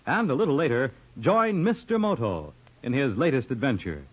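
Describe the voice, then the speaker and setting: A man's voice: medium pitch, sing-song, normal speed, slightly rough. A man working as a radio announcer, reading scripted promos in 1950s broadcast style. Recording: muffled old radio recording.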